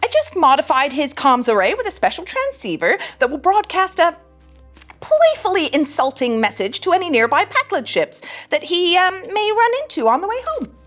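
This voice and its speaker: A woman voicing a cocky, mischievous young female starship ensign in a scripted animated sci-fi read, flippant and teasing. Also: very high-pitched, moderate pace, exaggerated voice. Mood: sly, breezy. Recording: music under the voice.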